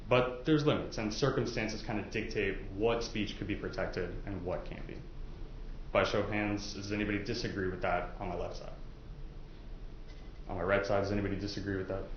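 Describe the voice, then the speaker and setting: A man speaking as a lawyer to prospective jurors, explaining and asking in an informal, halting, conversational way with plenty of hedging. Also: fairly low pitch, steady tone. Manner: halting, conversational, hedging with "I guess" and "you know". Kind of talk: informal courtroom questioning and explaining. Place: indoor courtroom. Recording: echoey courtroom, dull sound, faint noise.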